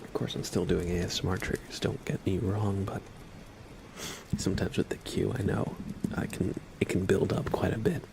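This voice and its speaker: A low-voiced man with a lot of vocal fry, hosting a relaxed ASMR livestream. He speaks softly, often drifting into a whisper, and sounds a little under the weather. There is clear background noise around his voice. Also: normal speed. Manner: soft-spoken, often slipping into whispers. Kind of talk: ASMR livestream, casual chat with viewers.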